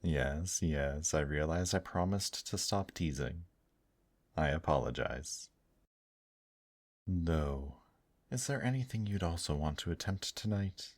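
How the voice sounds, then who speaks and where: A man voicing a gentle, teasing male demon in an intimate ASMR roleplay, speaking softly and courteously to the listener. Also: rumbling low, animated, no echo, exaggerated voice. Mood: gentle, reassuring. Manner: slow, soft, courteous, affectionate teasing with endearments. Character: gentle, teasing male demon brothel worker, tender and attentive.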